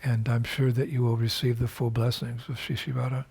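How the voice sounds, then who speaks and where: An older man, a spiritual teacher, recording a video message in an unhurried, reflective way, pausing often with fillers and restarts. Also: mid-range voice, little pitch movement, gravelly, a little mellow, husky.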